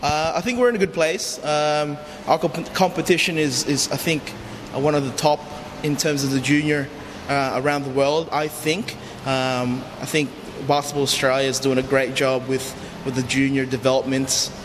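Even-toned man in a reverberant, noisy space. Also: medium pitch. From Philippines. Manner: hesitant, halting phrasing. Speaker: youth basketball coach, interview guest. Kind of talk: unscripted interview answers. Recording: long reverb, clear background noise.

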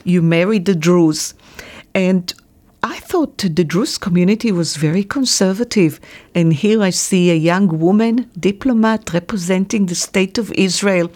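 A woman's voice: on the low side, measured pace, a little mellow. A woman hosting a radio interview, asking questions with long setups.

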